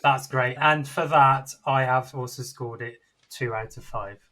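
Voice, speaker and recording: A man with a mid-pitched voice gives his opinions in a casual film discussion. Faint noise and clicks sit behind him. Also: steady tone, unhurried, sharp tone. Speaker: film review panellist.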